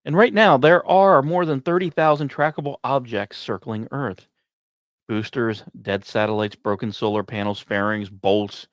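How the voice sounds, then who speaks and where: A man's voice: medium pitch, normal speed, dry recording, studio quality. A man hosting a podcast, reading scripted science commentary with emphatic, dramatic phrasing and informal asides. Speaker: podcast host.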